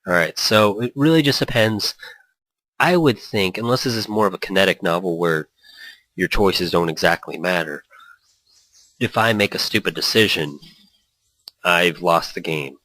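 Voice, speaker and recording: A man's voice: low voice, moderate pace, long pauses, sharp tone, no room sound, low-quality recording. A man hosting a gaming let's play, reading story text aloud with playful, rambling asides and small stumbles. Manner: playful, rambling, stumbles over read lines.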